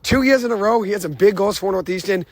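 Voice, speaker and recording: A young man hosting a sports podcast, rattling off stats in an excited, unscripted recap, his voice a little hoarse. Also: high voice, no echo. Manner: excited, rapid-fire stat recitation. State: hoarse, says he lost his voice. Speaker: podcast host.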